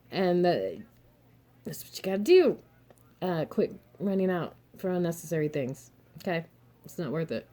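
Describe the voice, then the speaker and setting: A young adult woman, a little hoarse and throaty, chatting frankly to her viewers with advice, laughing often and cursing mildly. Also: fairly low pitch, dark tone. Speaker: vlogger, single mother and reseller. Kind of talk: casual advice monologue to camera.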